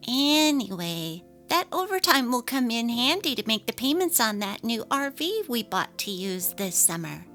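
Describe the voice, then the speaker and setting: A woman's voice: fairly high pitch, big pitch swings, normal speed, hardly any pauses, fairly bright tone. A woman voicing an apologetic, evasive young working wife and mother in a dramatic story reading. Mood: placating, evasive. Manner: apologetic, placating. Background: faint music.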